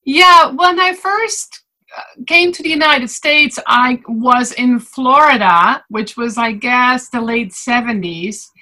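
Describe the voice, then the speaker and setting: A woman's voice: high-pitched, slow pace, sharp tone, low-quality recording. A woman in her sixties, from the Netherlands, giving a guest interview and speaking reflectively about self-love. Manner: reflective, thoughtful. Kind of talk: casual interview talk.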